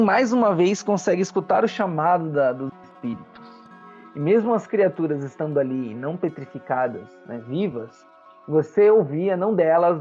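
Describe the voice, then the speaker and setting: A man's voice: a little high, warm tone. A Brazilian man working as game master, describing a fantasy world for a tabletop roleplaying game with conversational tags along the way. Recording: dull lo-fi audio under music. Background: background music.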